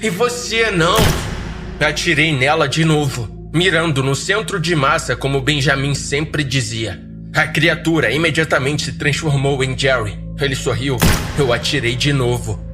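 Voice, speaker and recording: A Brazilian man narrates horror fiction in the first person as a podcast storyteller. His pitch sits in the upper-middle male range and his delivery is dry and measured, with clear music underneath.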